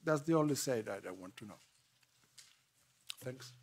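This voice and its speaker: A man with a Spanish accent giving remarks as a panel speaker in halting, repetitive, non-fluent English. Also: medium pitch, expressive, a little mellow, a little hiss or hum. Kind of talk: impromptu remarks at a public panel.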